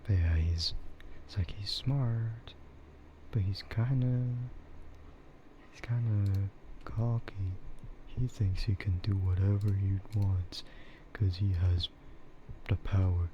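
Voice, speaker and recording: A man livestreaming casual ASMR chat in a hushed, rambling way that often slips toward a whisper. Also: steady tone, takes it slowly. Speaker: livestream host. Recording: muffled low-fidelity mic with clear background noise.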